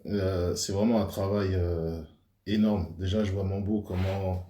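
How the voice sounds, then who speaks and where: A man speaking as a guest on a live stream, in a hesitant, halting way with many pauses. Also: deep voice, little pitch movement, dark tone, reverberant. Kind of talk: casual live-stream chat.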